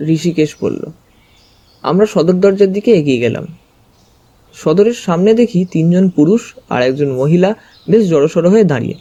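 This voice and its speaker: A man reading a first-person scripted story as its narrator, with expressive storytelling delivery. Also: high voice, normal speed, long pauses, lo-fi sound. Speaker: narrator and detective's companion.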